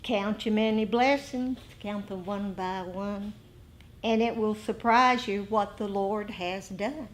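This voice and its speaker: A woman giving an informal dharma lesson to a meditation group, pausing between short phrases. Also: medium pitch, steady tone, a little hiss or hum. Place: indoor group meeting.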